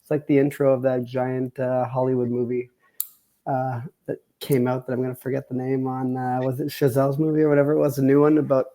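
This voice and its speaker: A man's voice: monotone, brisk, dark tone. A middle-aged man who directs films, chatting loosely in an interview. He talks with restless energy, piling up jokes and rambling comparisons. His voice sits in an ordinary middle range. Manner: high-energy, joking, rambling analogies. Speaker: film director. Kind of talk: casual interview chat.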